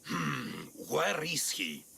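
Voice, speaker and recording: A man's voice: on the high side, sing-song, very slow, vocal fry, sharp tone, slight background noise, exaggerated voice. A man playing a frightened, evasive thug passing himself off as someone else in a video game. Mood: nervous, insistent. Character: frightened, evasive male thug posing as caretaker. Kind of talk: video game cutscene acting.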